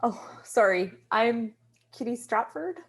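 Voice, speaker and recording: A woman's voice: fairly high pitch, expressive, slow, a bit bright, husky, exaggerated voice. A woman playing a hesitant, self-doubting new burlesque performer in a naturalistic table read, tentative and understated. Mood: nervous, unsure.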